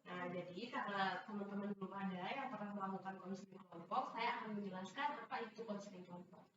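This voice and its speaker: A woman's voice: medium pitch, fast. A young woman leading a group counseling session in a teacherly way, with many hesitant fillers and frequent checks that everyone understands. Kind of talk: classroom-style instruction. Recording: echoey room, distant dull mic, faint noise.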